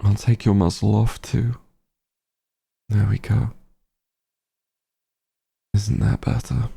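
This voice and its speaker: A man acts out a fantasy monster hunter speaking tenderly to a long-lost friend in an intimate audio roleplay. His low voice is thick with gravel and fry, and he takes his words slowly, halting with remorse and feeling. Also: level tone, full character voice. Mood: relieved, affectionate. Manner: halting, emotional, gentle. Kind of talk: roleplay audio drama, intimate monologue to listener.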